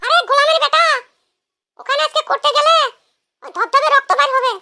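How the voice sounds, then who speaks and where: A performer as a shrill, scolding cartoon wife. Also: fairly even intonation, a little fast, creaky, noisy background. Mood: scolding, taunting. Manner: taunting, brash, scolding. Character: scolding, sharp-tongued village wife in a comic cartoon. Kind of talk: comic cartoon dialogue.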